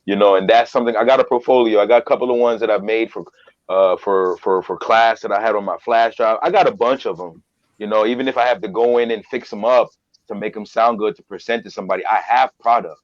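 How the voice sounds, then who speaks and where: A man's voice: fairly low pitch, steady tone, fast talker, muffled recording. A man guesting on a podcast as a voiceover artist, talking earnestly and repeating phrases for emphasis. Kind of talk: casual interview talk.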